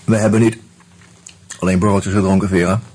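An actor playing a reflective, wry man who tells his story in a radio play, in a musing, halting, conversational way. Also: low voice, level tone, darkish tone, husky. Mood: wry, probing. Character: reflective male friend telling his story, wry, hesitant. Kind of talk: intimate first-person radio drama narration and dialogue.

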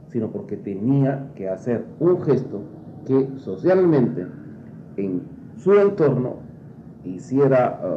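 Man explaining in a dull, echoey recording. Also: mid-range voice. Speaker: expert commentator. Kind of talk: spoken explanatory commentary. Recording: dull, roomy, slightly noisy interview recording.

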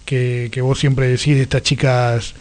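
A man's voice: mid-range voice, little pitch movement, unhurried. An Argentine man hosting a conversational radio interview. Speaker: radio show host.

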